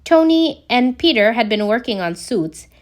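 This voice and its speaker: A woman reading a scripted story aloud as a narrator, in an expressive storytelling way. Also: on the high side, normal speed. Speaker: podfic narrator reading fan fiction.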